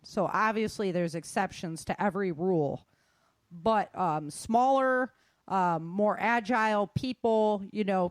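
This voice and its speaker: A middle-aged woman speaks informally, as if coaching people she knows. Her voice sits at a medium pitch, and she is candid and colloquial, slipping in joking asides. The sound is dry, with very little room. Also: no echo. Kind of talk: informal talk to an audience.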